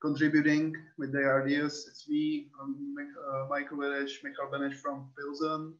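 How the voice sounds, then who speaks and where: A man's voice: fairly high pitch, completely flat delivery, moderate pace, a bit bright, dull audio. A Czech man, whose first language is Czech, introducing his youth outreach work and searching for words. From Czech Republic.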